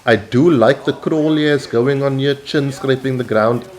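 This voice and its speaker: A South African man hosting his gaming channel, calmly explaining tactics over gameplay with frequent pauses. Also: medium pitch. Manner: measured, instructional, with frequent "um" and "uh" pauses. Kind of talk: gameplay commentary.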